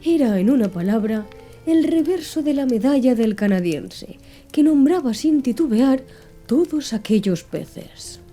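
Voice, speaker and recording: A woman narrating an audiobook in a soft, calm, measured ASMR reading style. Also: high voice. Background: soft background music.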